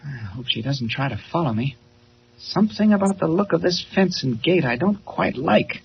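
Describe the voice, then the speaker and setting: An actor playing a courteous, eager newspaper reporter who is secretly a superhero, in a 1940s style radio drama. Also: male voice, a little high, expressive, moderate pace, vocal fry. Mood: wary. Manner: polite, eager, attentive. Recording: muffled, hissy old radio recording.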